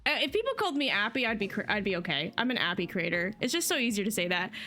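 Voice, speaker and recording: A woman's voice: medium pitch, sing-song, rapid-fire, sharp tone, faint music behind. A woman from New Jersey, a livestreamer chatting casually with her chat in a rambling, talkative way. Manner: chatty, rambling with fillers and laughs. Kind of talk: casual chat to a live stream chat.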